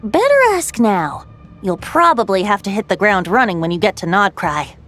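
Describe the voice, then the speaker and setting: A woman playing a calm, playful female guide telling old lore in a video game, measured and poised. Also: medium pitch, soft background music, close to an everyday voice. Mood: measured, solemn. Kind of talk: scripted game dialogue, storytelling.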